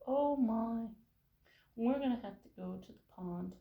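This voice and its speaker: Woman voicing a timid little mouse, soft, high-pitched, storybook. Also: a little slow, roomy sound, phone-quality sound, close to an everyday voice. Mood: earnest.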